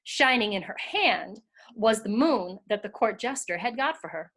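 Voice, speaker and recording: A woman's voice: medium pitch, animated, normal speed, fairly bright tone. A woman reading scripted story narration as a narrator in a readers theater.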